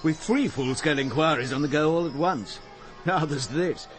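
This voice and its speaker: An actor playing an overworked local police detective in a radio drama, with an informal, sardonic way of talking. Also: male voice, a little high, moderate pace, soft background music, natural voice. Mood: wry, chatty.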